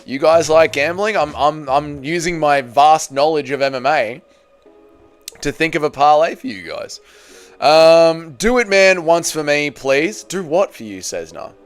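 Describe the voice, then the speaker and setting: He is a man in his thirties with a fairly high, very clear voice. He talks like a streamer among mates, casual and blokey, often saying the same line again. There is music behind him. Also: moderate pace. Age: 30s. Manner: blokey, crude joking, repeats himself, addresses viewers as boys. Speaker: livestream host. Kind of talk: casual livestream chat about MMA, reading out viewer comments.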